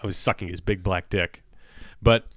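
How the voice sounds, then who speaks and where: A man's voice: sits low, steady tone, normal speed, a bit bright, dry recording, studio quality. A man co-hosting a podcast in crude casual banter, profane and joking, often trailing off mid-sentence. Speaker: podcast co-host.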